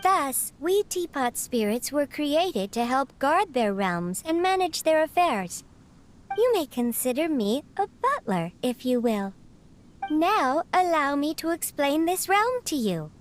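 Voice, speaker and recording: A woman's voice: high voice, very animated, slow, slight background noise, exaggerated voice. A woman voicing a plump, bird-like female teapot spirit in a video game, formal and prim as a butler. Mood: courteous, instructive. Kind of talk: video game character dialogue. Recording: music under the voice.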